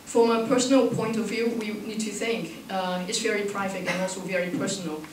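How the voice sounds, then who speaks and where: A woman speaks reflectively at a panel discussion in halting, non-native phrasing. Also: medium pitch, fairly even intonation. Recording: echoey room, distant mic, faint noise.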